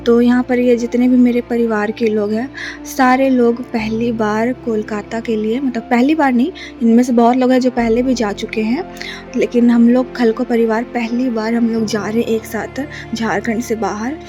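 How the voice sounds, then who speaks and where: A young woman from Jharkhand talks softly and flatly into her phone, with many hesitations. Her voice is mellow, a little raised in pitch, and a bit rough from a sore throat. Faint music plays underneath. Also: normal speed. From Jharkhand, India. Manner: soft, subdued, many hesitations. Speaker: vlogger. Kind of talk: casual vlog narration. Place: bus stand, then a relative's flat.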